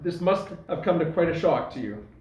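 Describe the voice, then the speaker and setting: A man's voice: fairly high pitch, lively intonation, brisk, a little mellow, echoey room, close to an everyday voice, rough recording. A man playing a suspicious, easily bewildered police constable in a stage farce, questioning people formally and indignantly. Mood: sympathetic. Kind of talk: stage farce play reading.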